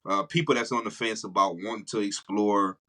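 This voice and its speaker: Low-voiced man, bright forceful podcast host. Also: steady tone, brisk. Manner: warm-hearted, emphatic, frequent "man". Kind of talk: casual interview talk.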